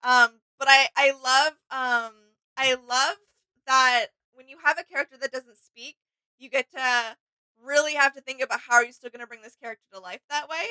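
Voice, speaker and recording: A woman with a slightly high, bright and smooth voice co-hosts a casual reaction chat. She speaks in an agreeable, appreciative manner, with a dry, close sound. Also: fairly even intonation, moderate pace, no room sound, poor audio. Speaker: co-host of a reaction show.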